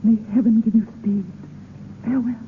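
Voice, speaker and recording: A woman's voice: a little high, steady tone, moderate pace, close to an everyday voice. Woman playing earnest romantic noble lady, crackly old radio drama. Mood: earnest, tender. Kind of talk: 1930s radio acting. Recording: scratchy tinny 1930s radio.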